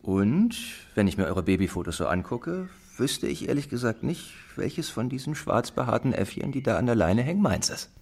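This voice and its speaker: Brief: easygoing hapless dad, husky, swinging intonation, joking, children's radio drama. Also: male voice, mid-range voice, very animated, normal speed, few pauses, a little mellow. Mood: deadpan, explaining. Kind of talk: radio play acting.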